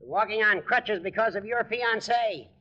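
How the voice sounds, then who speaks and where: An actor playing an indignant, self-pitying older gentleman with formal speech in a 1940s radio comedy. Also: high voice, measured pace. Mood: aggrieved. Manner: aggrieved, formal. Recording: dull 1940s radio recording.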